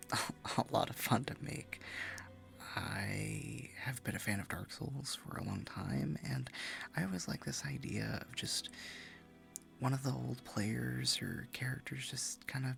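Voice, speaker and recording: A man speaking softly and informally to his listeners as an ASMR creator, a little hesitant. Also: mid-range voice, lively intonation, measured pace, vocal fry, soft background music. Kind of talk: soft-spoken casual outro to listeners.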